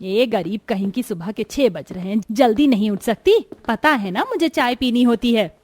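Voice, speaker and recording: A woman's voice: a little high, animated, normal speed, a little mellow, no room sound. Woman acting a bossy, scolding older mother-in-law. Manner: curt, scolding. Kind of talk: acted Hindi cartoon moral story.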